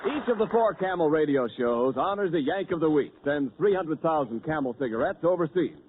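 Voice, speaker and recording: A man announcing sponsor copy in a smooth, formal 1940s radio style, recorded tinny. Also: on the high side, animated, brisk, over music. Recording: tinny 1940s radio broadcast, faint hiss.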